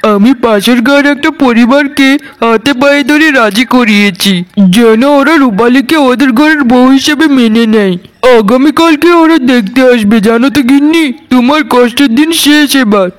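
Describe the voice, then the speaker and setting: A man's voice: very high-pitched, unhurried. A man voicing an anxious village husband in a cartoon, coaxing and placating his wife. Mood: reassuring. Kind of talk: animated cartoon drama voice.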